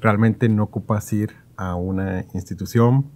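A man, hosting a casual podcast with thoughtful, halting phrasing. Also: fairly low pitch, steady tone, a little mellow. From Mexico. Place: small padded podcast studio. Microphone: studio mic on stand.

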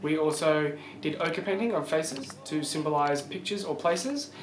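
A man's voice: on the high side, normal speed. A teenage Australian male youth participant recounting a group trip in an interview-style narration. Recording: echoey room with music behind.

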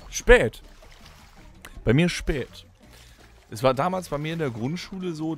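A middle-aged man from Germany hosting a podcast, chatting casually and rambling with self-mocking asides. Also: medium pitch. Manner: rambling, self-mocking, lots of filler sounds. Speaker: podcast host. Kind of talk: casual chat in a staged holiday audio play.

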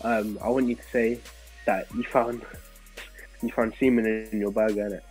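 A man's voice: on the low side, steady tone, normal speed, a little mellow, faint music behind, low-quality recording. A young man in casual banter, coaching his friend through pranks. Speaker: host's friend suggesting pranks.

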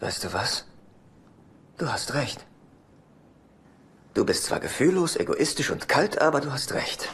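A man's voice: medium pitch, big pitch swings, measured pace, long pauses, a bit bright, breathy edge, full character voice. An actor playing a self-pitying, heartbroken middle-aged father in a dubbed sitcom, whiny and dryly sarcastic. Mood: dramatic self-pity. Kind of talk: German-dubbed sitcom acting.

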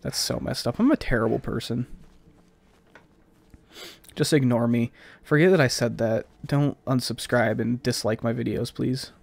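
A man's voice: mid-range voice, normal speed, creaky, long pauses, a little mellow. A man with a slightly stuffed-up, scratchy voice giving casual, rambling gameplay commentary as a let's play host.

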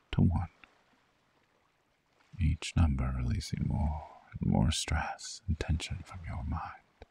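A man voicing a soothing, seductive vampire lover in a slow, hypnotic ASMR sleep roleplay, half-whispering with a breathy, gravelly voice. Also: very deep voice, darkish tone, full character voice. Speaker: ASMR voice actor.

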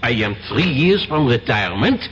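An actor playing a weary, cautious French police inspector near retirement, with a French accent and formal, halting English, in a radio drama. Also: male voice, medium pitch, sing-song, fairly bright tone, a little hiss or hum. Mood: curt, defensive. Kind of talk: 1970s radio drama acting. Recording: dull radio recording, long reverb.

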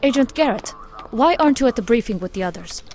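A woman playing a brisk, commanding, no-nonsense special agent in an audio drama. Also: fairly high pitch, animated, darkish tone, a little hiss or hum, natural voice. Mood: stern. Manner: urgent, commanding.

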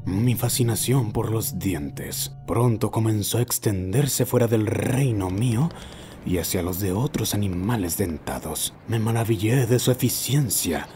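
Guy acting obsessive old man telling a horror story, lowish voice, solemn and formal, music underneath. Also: measured pace, warm tone. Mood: brooding, solemn. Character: obsessive old man recounting his lifelong teeth fixation. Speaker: amateur narrator of a horror short. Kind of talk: scripted first-person horror narration.

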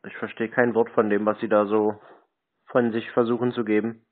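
A man's voice: fairly low pitch, level tone, normal speed. A man talking on a phone call in a dry, stubborn, provocative way, asking pointed questions. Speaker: scambaiter taking scam calls. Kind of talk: unscripted phone conversation. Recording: narrowband, dull phone-call sound.

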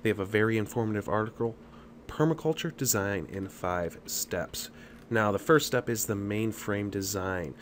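A man with a fairly deep voice narrating a homemade online explainer over a screen recording, some faint noise in the room behind him. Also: normal speed. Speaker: channel host. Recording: clear background noise.

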